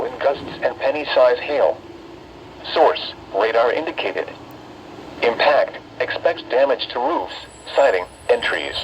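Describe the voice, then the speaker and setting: A synthetic male announcer reads formal emergency weather bulletins in an impersonal, measured way with clipped, list-like phrasing. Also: mid-range voice, creaky. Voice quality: synthetic text-to-speech voice. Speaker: automated weather radio announcer. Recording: dull, noisy weather radio broadcast.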